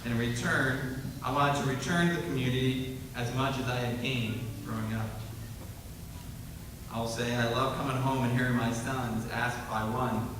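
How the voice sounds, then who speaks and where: A man who is a police officer, giving a solemn, measured speech at a memorial ceremony. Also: medium pitch, fairly even intonation, background noise. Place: large hall at a ceremony. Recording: echoey hall from far off.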